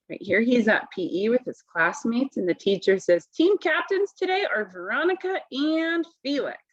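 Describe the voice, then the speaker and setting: A woman reading a picture book aloud to children, expressively, pausing to explain it in simple words. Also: mid-range voice, lo-fi sound.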